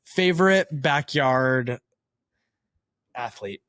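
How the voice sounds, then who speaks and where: A mid-pitched podcast host running a quick round of questions with short reactions. The voice sits in the middle range. It is recorded dry and close. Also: fairly bright tone, dry recording. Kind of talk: rapid-fire interview questions.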